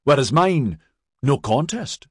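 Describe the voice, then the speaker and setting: A man's voice: fairly low pitch, very animated, normal speed, breathy edge, no room sound, studio quality. Man acting a bitter, harsh young constable. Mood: furious, bitter. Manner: harsh, biting, sarcastic. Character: embittered, hot-tempered young male constable, wounded and scornful.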